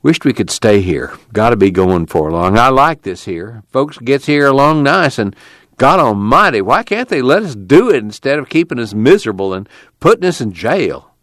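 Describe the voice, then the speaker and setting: A man's voice: medium pitch, sing-song, moderate pace, a bit bright, no echo, pitched above the performer's own voice. A man voicing a worried migrant camp leader in a storybook, with earnest, folksy rural speech. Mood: troubled. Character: plain-spoken, worried male migrant camp committee leader.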